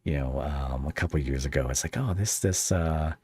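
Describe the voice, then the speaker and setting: Low-voiced man hosting a casual podcast. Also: normal speed, a little mellow, breathy edge. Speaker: podcast host and interviewer.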